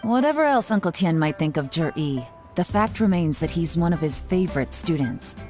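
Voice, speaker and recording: A woman playing a composed, authoritative spymaster in a video game, speaking with deliberate control. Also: low-pitched, lively intonation, over music. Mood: composed, businesslike.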